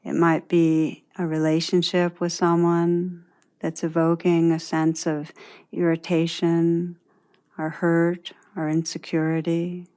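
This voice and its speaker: A woman narrates a guided meditation in a somewhat low voice. She speaks very slowly and deliberately, with a gentle delivery and long, open pauses between her phrases. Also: completely flat delivery, clean tone.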